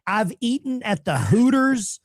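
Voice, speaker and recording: A man's voice: sits high, sing-song, no room sound, studio quality. A man hosting a call-in show in a casual, joking, offhand way. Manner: joking, offhand, quick quips.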